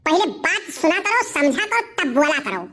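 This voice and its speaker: A woman's voice: very high voice, sing-song, moderate pace, fairly bright tone, exaggerated voice. A woman voicing a cheeky, scheming village boy with an Awadhi accent, cajoling and insistent in comic cartoon dialogue.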